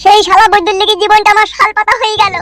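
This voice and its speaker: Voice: very high voice, level tone, very fast, pushed and bright. A performer voicing a jilted husband in a cartoon comedy, complaining in a whining lament. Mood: aggrieved, lamenting. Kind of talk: Bengali cartoon comedy dialogue.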